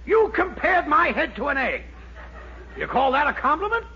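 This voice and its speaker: A man's voice: very high-pitched, big pitch swings, normal speed, vocal fry, sharp tone, heavily acted. An actor playing a huffy, indignant male store boss with a blustering manner, in 1940s radio comedy style. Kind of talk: 1940s radio sitcom acting. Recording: muffled, noisy 1940s radio recording.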